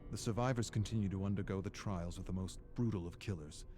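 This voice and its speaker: An actor playing a weary, scholarly archivist of a dark otherworld, reading journal entries for a game in a measured, brooding way. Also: male voice, sits low, natural voice. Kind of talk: scripted dramatic narration, journal entries. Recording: music behind the voice. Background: music.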